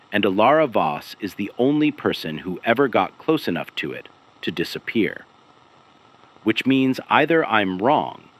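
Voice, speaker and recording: Male podcast narrator, low pitch, expressive intonation, grave and deliberate, measured phrasing, scripted investigative storytelling. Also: low-quality recording. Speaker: host of an investigative audio series.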